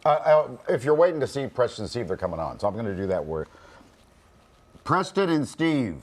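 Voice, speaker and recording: Chatty TV host, lively pitch. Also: male voice. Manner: playful, chatty, quick to laugh. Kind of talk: casual studio chat. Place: TV studio.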